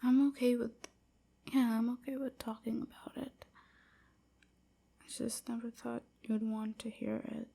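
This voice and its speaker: A woman playing a timid, submissive vampire slave in an intimate audio roleplay, hesitant and stammering, with a soft, whispery, apologetic delivery. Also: fairly high pitch, flat delivery, a little slow, long pauses, exaggerated voice. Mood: submissive, guilty. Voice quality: soft, breathy, often whispery. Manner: hesitant, stammering, hushed and breathy.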